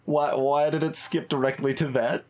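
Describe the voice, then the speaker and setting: A man's voice: a little high, flat delivery, normal speed, a little mellow. A man giving casual live game commentary as a streamer, thinking aloud in a hesitant, musing way and trailing off mid-thought. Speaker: streamer, let's play host. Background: game music.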